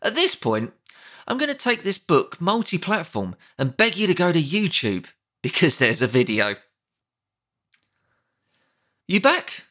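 Wry British man with very lively intonation. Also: fairly high pitch, moderate pace. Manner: wry, sardonic, conversational asides. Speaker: audiobook narrator. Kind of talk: comedic scripted narration.

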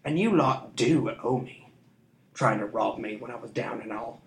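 A man's voice: medium pitch, moderate pace, close to an everyday voice. A man voicing a harsh, scarred thief who is menacing but cowardly, with a sneering drawl, in a roomy room. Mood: sneering menace. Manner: sneering, rough-edged drawl. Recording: roomy echo.

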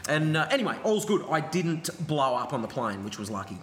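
A man's voice: a little high, very animated, a little fast, slight background noise. An Australian man co-hosting a sports podcast with casual, chatty banter. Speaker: podcast co-host.

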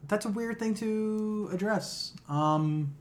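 A man's voice: sits high, slow pace. A man co-hosting a podcast in casual, irreverent, profanity-sprinkled chat about games. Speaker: podcast co-host.

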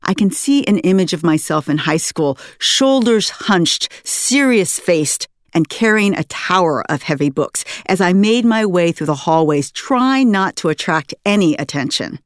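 An American woman, an author reading her own memoir aloud in an articulate, expressive storytelling manner. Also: lowish voice, measured pace, few pauses, dry recording, clean studio sound. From United States, West Coast. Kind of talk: scripted memoir narration.

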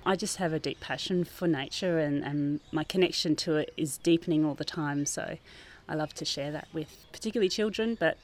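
A woman's voice: deep voice, brisk, few pauses, a little mellow. A woman who works as a garden teacher, explaining thoughtfully and earnestly in an informal interview outdoors. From New Zealand. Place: outdoors, under a gazebo in a school garden.

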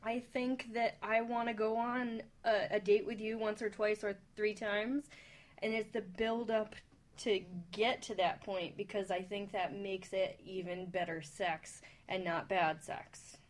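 A woman's voice: medium pitch, steady tone, measured pace. A woman on a radio talk panel, chatting casually in a blunt, emphatic way.

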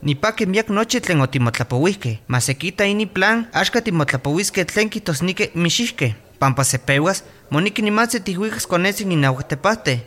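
A man's voice: high voice, expressive, normal speed, hardly any pauses, a bit bright. An actor playing a patient male doctor advising village mothers in a scripted radio drama. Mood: instructive.

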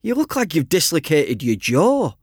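A man's voice: fairly high pitch, wildly swooping pitch, normal speed, breathy edge, dry recording, studio quality, exaggerated voice. A man voicing a teasing, blunt teenage boy, a best mate, in an audiobook, with a cheeky and sarcastic manner. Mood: mocking. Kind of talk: audiobook character dialogue.

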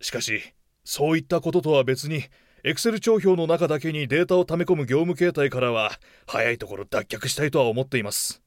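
Casting: actor as a courteous company boss, slightly high male voice, deferential and sensible, dry sound. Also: animated, no room sound, lightly acted. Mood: reasonable. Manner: measured, deferential, polite. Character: mild, reasonable male office manager. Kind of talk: audio drama acting.

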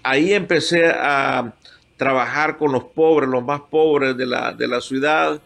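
An older man from Honduras, a pastor, telling his calling in a heartfelt, halting way, moved by the memories. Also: mid-range voice, fairly even intonation, gravelly, fairly bright tone. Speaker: pastor guest. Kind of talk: emotional personal testimony.

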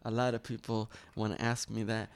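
A man's voice: fairly low pitch, little pitch movement, fairly quick. Earnest man telling his story. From Iraq. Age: 30s. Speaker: interview guest. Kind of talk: personal storytelling in an interview.

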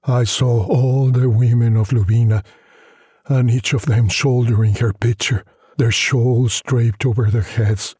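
A man narrating a literary story in a measured, solemn way, with a husky, breathy voice. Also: medium pitch, steady tone, mellow, no room sound, clean studio sound. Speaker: audiobook narrator.

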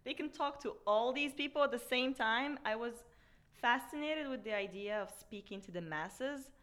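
Woman guest from Morocco, bright voice, animated storyteller. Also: a little high, normal speed. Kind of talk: casual chat.

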